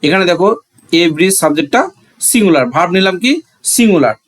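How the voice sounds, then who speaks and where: A man's voice: high-pitched, lively intonation, fast. A man with a Bangladeshi accent teaching a grammar lesson, asking questions and answering them himself, repeating phrases for emphasis.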